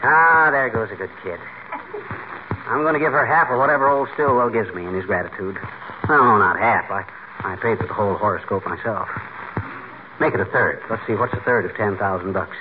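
A man's voice: lowish voice, a little fast, close to an everyday voice. An actor playing a boastful, blustering, easily flustered married man in a 1940s radio comedy, stammering and self-important. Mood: smug, eager. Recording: noisy, muffled 1940s radio recording.

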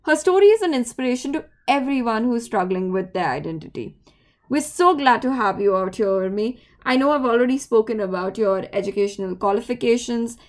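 Podcast host: woman, fairly high voice, reads a prepared intro. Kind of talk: scripted intro, then interview.

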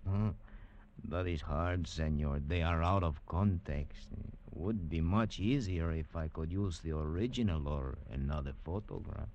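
An actor playing a sharp, courtly old male translator in a 1950s radio drama. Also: extremely low pitch, measured pace, dull audio. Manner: polite, courtly and eager. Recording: old radio broadcast, faint hiss.

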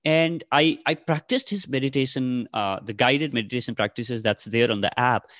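A man's voice: mid-range voice, moderate pace, a bit bright, dry recording, studio quality. A man in his thirties from Nepal, whose first language is Nepali, answering interview questions casually with many fillers and restarts. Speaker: podcast host, guest here.

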